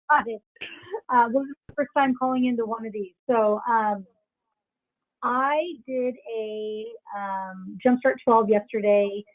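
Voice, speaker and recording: A woman's voice: a little high, fairly even intonation, takes it slowly, no echo. A woman calling in as a new business coach, chatty and slightly hesitant, with many ums. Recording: tinny phone-like line.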